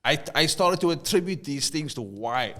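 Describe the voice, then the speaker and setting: A man's voice: fairly high pitch, animated, normal speed, sharp tone. A South African man co-hosting a casual conversation, telling a story and often repeating words as he starts a thought. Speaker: podcast co-host.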